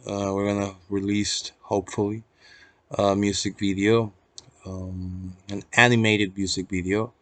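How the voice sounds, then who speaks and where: A man's voice: fairly low pitch, flat delivery, a little slow, fairly bright tone, no room sound. A young man with a Peruvian accent talking casually to viewers in a reflective, hesitant, rambling, unscripted vlog, swearing casually as he goes. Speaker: vlogger.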